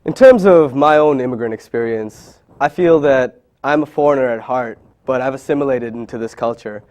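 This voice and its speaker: A man's voice: medium pitch, normal speed, a little mellow. A man who grew up in Bangladesh narrating a documentary in an earnest, reflective, scripted way.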